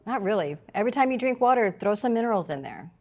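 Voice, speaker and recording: A woman's voice: on the high side, expressive, fast talker, a little mellow. A woman chatting casually as a podcast co-host, giving brief, agreeable replies. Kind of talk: casual chat.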